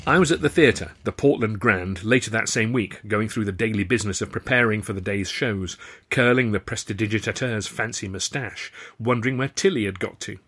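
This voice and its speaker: Husky low-voiced male narrator. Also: a little fast. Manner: wry, lively storytelling. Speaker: author and narrator. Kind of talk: audiobook narration, first person.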